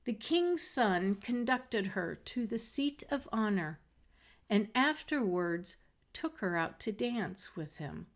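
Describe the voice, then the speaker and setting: A woman reading a fairy tale aloud as a storyteller, in a measured, expressive way. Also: mid-range voice. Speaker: storybook reader. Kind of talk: scripted read-aloud narration of a fairy tale.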